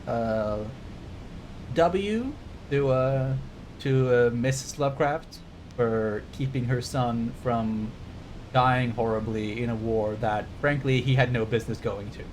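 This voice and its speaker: A man giving bookish, wry commentary as a game streamer, sometimes reading passages out. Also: poor audio. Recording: clear rumbling background noise.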